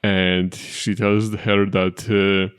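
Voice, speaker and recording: Halting Polish-accented man, lowish voice. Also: level tone, clean tone. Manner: halting, repeats words, dry joking. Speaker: reaction channel co-host. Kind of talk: casual chat, joke telling.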